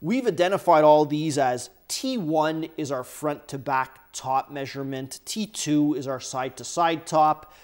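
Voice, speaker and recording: A man's voice: fairly high pitch, unhurried, few pauses. A man hosting a workshop how-to, casually explaining engine measurements while handling parts at his bench. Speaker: workshop host. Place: indoor workshop bench, overhead camera.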